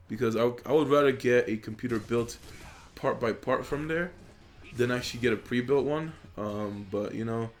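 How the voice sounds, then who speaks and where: Young male gamer, Polish native, mid-pitched, relaxed and filler-heavy commentary, desk mic, faint music bed. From Poland. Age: young adult. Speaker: gaming YouTuber. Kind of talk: casual gameplay commentary. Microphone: USB desk microphone.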